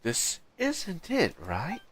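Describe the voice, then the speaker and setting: A man streaming a game, thinking aloud in low, slow mutters as he plays. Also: huge pitch swings, fairly bright tone, a little hiss or hum. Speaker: livestreamer playing a game. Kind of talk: quiet thinking-aloud gameplay commentary. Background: game music.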